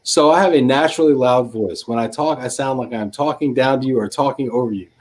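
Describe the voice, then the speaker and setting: A man hosts a live online book panel, reading out viewer questions in a mid-pitched voice. He trips over words and chuckles at himself. He is heard through a video-call setup. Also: a little fast. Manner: stumbling, self-deprecating, laughs at himself. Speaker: host.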